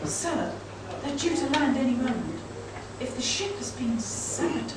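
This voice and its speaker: Woman as very high-pitched pompous officer, echoing hall. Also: sing-song, moderate pace. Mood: alarmed. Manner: exclamatory, formal. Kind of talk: live comic radio play. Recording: distant, very echoey hall, clear noise.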